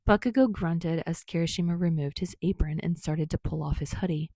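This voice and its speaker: Woman reading a story out loud, lowish raspy voice w lots of fry, very dry recording. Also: fairly quick, no room sound. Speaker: amateur audiobook narrator. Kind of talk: scripted story narration.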